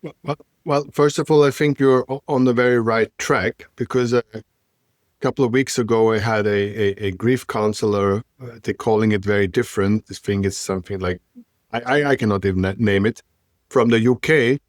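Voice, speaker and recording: A man's voice: lowish voice, steady tone, normal speed, no echo, clean studio sound. A man hosting a podcast in casual chat, rambling and stumbling over his words while searching for them. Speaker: podcast host.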